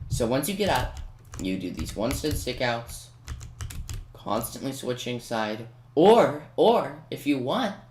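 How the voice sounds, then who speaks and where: Male gameplay commentator, mid pitch, casual tutorial delivery with self-corrections; echoey room, faint background noise and keyboard clatter. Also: big pitch swings, takes it slowly, a little mellow. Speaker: video creator giving game walkthrough commentary. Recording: roomy echo, faint noise with key clicks. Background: computer keyboard typing and clicks.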